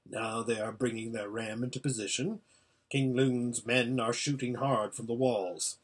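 A man's voice: medium pitch, fairly bright tone, husky. A man voicing an impatient old hermit seer in a storybook, giving an anxious, exclamatory running report. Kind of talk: storybook character voice.

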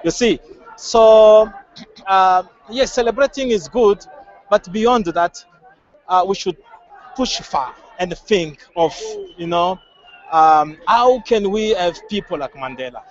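A man from the DR Congo with a Congolese accent, giving earnest, halting answers in an outdoor street interview. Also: high-pitched. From Democratic Republic of the Congo. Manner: earnest, hesitant, halting phrasing. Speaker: member of the public being interviewed. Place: outdoors at a crowded street gathering. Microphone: handheld interviewer's microphone. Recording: muffled, band-limited outdoor field audio.

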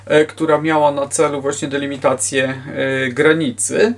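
Male lecturer, 50s, slightly high register, hesitant informal delivery with false starts, miked from a distance indoors. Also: level tone, moderate pace, gravelly. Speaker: historian giving a lecture. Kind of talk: informal history lecture to camera. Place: book-lined room at home, indoors. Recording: distant microphone in a room.

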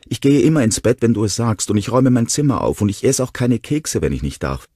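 A small boy in an audiobook story, acted out by a man, whimpering and imploring. The voice is lifted well above the reader's own, with a husky edge and a close, dry sound. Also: medium pitch, big pitch swings, fast, mellow, no room sound, pitched above the performer's own voice. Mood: whimpering, pleading. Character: small tearful boy pleading with his father.

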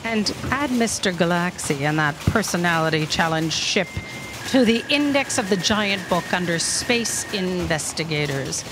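Woman playing slow, imperious lady boss. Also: fairly low pitch, lively intonation, over music. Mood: bossy. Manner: commanding, curt, scornful. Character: imperious, impatient female boss of destiny office. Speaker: stage actor. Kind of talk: staged live audio drama. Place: theatre stage, indoors. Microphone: stand microphone. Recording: noisy live stage recording.

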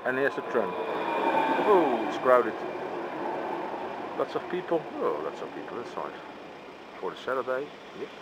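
A Dutch-accented man talks slowly in short, musing remarks, mostly to himself, while he drives. His voice sits at a middling pitch. The recording comes from a dashboard camera, with engine and traffic noise clearly heard underneath. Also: very animated. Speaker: vlogger filming from a car. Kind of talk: casual running commentary. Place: inside a car in city traffic. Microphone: camera mounted on dashboard. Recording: noisy car interior with engine.

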